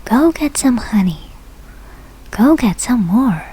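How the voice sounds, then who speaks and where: A woman reading a rhyming picture book aloud slowly, with careful, deliberate phrasing. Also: on the high side, big pitch swings, warm tone, husky, low-quality recording. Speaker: English learner reading a children's book aloud.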